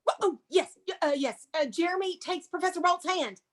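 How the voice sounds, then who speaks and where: An actress playing a museum curator who narrates screenplay stage directions aloud. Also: female voice, very high voice, fairly quick. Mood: flustered, improvising.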